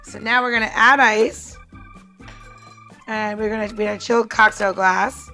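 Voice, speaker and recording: A woman's voice: mid-range voice, fairly even intonation, moderate pace, bright, forceful tone, soft background music. A woman co-hosting a podcast as bartender, casually talking listeners through a cocktail demonstration in a chatty way. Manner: chatty, talks the listener through each step.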